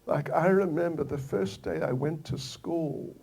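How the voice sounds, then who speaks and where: An older man from New Zealand giving a devotional scripture lecture, telling the story gently and slowly with soft laughs and repeated phrases. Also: fairly high pitch, creaky, slightly rough.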